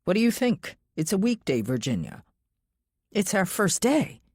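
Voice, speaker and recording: A woman's voice: mid-range voice, sing-song, unhurried, darkish tone, slightly rough, dry recording, clean studio sound, voice pushed up. A woman voicing a frustrated, resentful wife pleading in an audiobook. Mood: disbelieving. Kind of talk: audiobook character dialogue.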